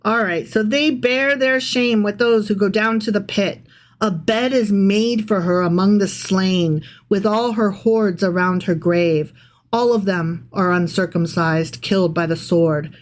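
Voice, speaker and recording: A woman's voice: medium pitch, a little slow. A woman with a mid-range voice co-hosts a casual podcast discussing the Bible. She is blunt and irreverent in how she talks and swears freely. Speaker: podcast co-host. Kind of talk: casual Bible discussion with some reading aloud.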